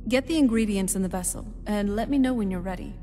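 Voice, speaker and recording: A woman playing a young-looking, knowing vampire in a fantasy video game, with a dry, measured way of explaining. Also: mid-range voice, mellow, slight background noise, lightly acted. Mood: brisk, wary. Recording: long hall reverb, music underneath. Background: game music.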